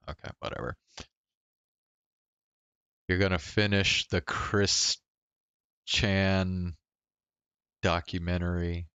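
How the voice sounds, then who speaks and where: Male game streamer, low and unhurried, casual hesitant rambling, smooth resonant tone. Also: fairly bright tone, dry recording, muffled recording. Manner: rambling, hesitant, thinking aloud. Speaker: game livestreamer. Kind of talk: casual chat while playing a game.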